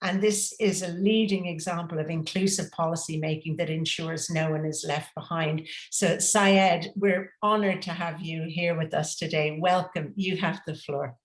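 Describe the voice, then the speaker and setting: A woman's voice comes through with clear room echo, pitched a little low. She is moderating, giving a formal introduction to a speaker. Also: fairly even intonation, normal speed, hardly any pauses.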